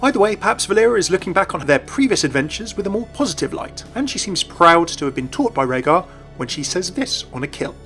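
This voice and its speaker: A man with a fairly high voice presents a scripted, explanatory video game narration. Music plays softly behind him as he talks. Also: big pitch swings, quick pace. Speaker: host of a video game channel. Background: background music.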